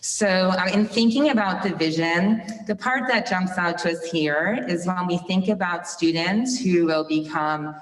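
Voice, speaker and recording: Woman, school presenter, loud teacher voice, low-ish pitch, far from the mic, echoey hall. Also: a little slow, few pauses. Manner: loud teacher voice, upbeat explaining.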